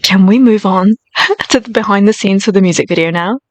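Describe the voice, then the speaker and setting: A woman with a high voice chatting casually and gushing enthusiastically while reacting to a music video. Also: a little fast, no room sound.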